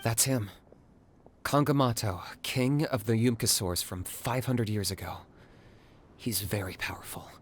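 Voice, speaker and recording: A cool, clipped young hunter. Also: male voice, fairly low pitch, measured pace. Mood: calm. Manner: cool, clipped and matter-of-fact. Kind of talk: video game voice acting.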